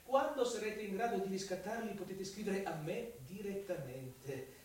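Man acting high-voiced officious official, cavernous echo. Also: normal speed, a little mellow, lightly acted. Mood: officious, insinuating. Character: officious male official, smoothly formal, theatrically drawn-out. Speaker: police official. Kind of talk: theatrical radio drama dialogue. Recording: echoey, distant, faint hiss.

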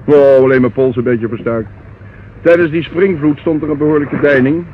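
An actor playing a shifty barge skipper in a radio drama, talking in an offhand, colloquial way. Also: male voice, mid-range voice, moderate pace, lo-fi sound, natural voice. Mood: casual. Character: shifty male barge skipper, casually feigning innocence. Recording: thin, noisy old radio recording.

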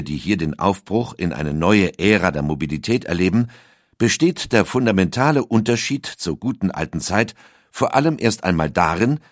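A man with a very low voice reads a documentary script, his pitch rising and falling in lively arcs. The sound is dry and close, with no room around it. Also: no room sound. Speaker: documentary narrator.

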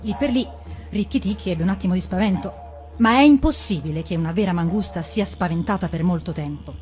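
A woman reading a fable for radio in an expressive, deliberate, dramatic storytelling manner. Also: lowish voice, soft background music. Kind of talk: expressive scripted storybook narration.

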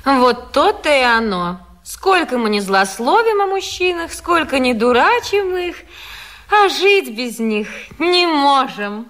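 An actress playing a good-humoured, gossipy neighbour who chides playfully in a theatrical radio comedy. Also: female voice, sits high, big pitch swings, takes it slowly, a bit bright, heavily acted. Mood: knowing.

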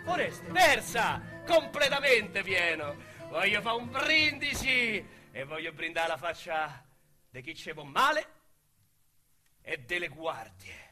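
A boastful small-time crook with a Roman accent in a radio drama. His voice sits high and bright, and his slangy talk lurches from loud bragging to hushed menace. A faint musical score runs underneath. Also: sing-song, slow pace, soft background music, full character voice. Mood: gleeful. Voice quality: colorful slangy storyteller. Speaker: narrator-protagonist.

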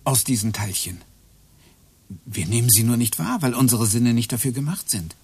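An actor playing Graham, a man in a German radio play who muses haltingly about time and particles. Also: mid-range voice, animated, darkish tone, breathy edge. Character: brooding man lost in theories of time.

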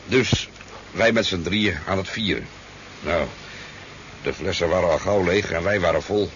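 A man's voice: deep voice, normal speed, a bit bright. An actor playing a talkative, easygoing sport fisherman in a 1970s radio drama, telling his tale in a hesitant, rambling way. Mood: animated storytelling. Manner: hesitant, rambling storytelling with stammers. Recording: dull, noisy 1970s radio recording.

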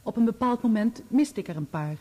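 Radio drama witness: female, dignified, restrained, precise, warm dark timbre. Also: on the high side, lively intonation, a little fast. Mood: composed, regretful. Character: composed, guarded woman witness testifying in court. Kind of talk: Dutch radio play acting.

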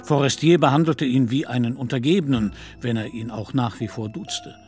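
A man's voice: medium pitch, brisk. Male narrator over music. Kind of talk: scripted literary radio play narration.

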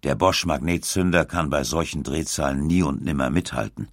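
Deep, gravelly male documentary narrator. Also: moderate pace, dry recording, studio quality. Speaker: audiobook narrator.

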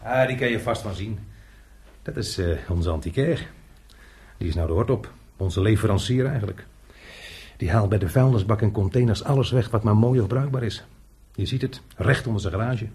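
An actor playing a chatty, jovial unemployed handyman in a radio drama, talking colloquially with a raspy, husky voice. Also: fairly low pitch, moderate pace, darkish tone. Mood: chatty, explaining. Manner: talkative, colloquial, chuckling. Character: chatty, jovial unemployed workshop handyman showing a newcomer around.

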